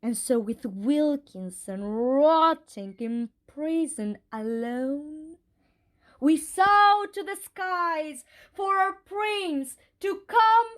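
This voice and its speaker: A woman playing a theatrical storyteller in an audio drama, reciting rhymed verse in a dramatic, deliberate way. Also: high voice, animated, exaggerated voice.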